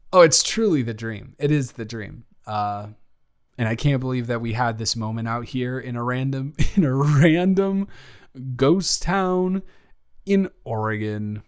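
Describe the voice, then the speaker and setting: A man playing a smitten, teasing young man in an improvised romantic audio roleplay, speaking in a bantering, halting way. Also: medium pitch. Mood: tender, teasing. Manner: bantering, halting, with stammers and breathy laughs. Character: smitten, teasing young man confessing love to his best friend.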